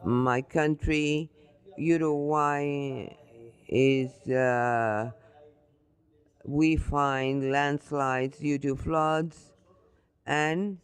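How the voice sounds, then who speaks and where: A man answering a question as a conference panelist, halting and full of "uh" fillers. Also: medium pitch, smooth, dry recording. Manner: slow and halting, with many uh fillers.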